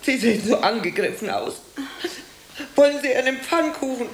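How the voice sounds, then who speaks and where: Weary landlady, radio drama, high voice, practical, halting, roomy sound. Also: lively intonation, slight background noise. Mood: practical, solicitous. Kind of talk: German radio drama acting.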